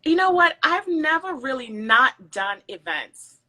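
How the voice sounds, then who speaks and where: Bright Jamaican-American woman, chatty. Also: high-pitched, sing-song, measured pace. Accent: light Jamaican, mixed with American. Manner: warm, chatty storytelling with frequent fillers. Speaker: event planner guest. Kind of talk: casual podcast interview.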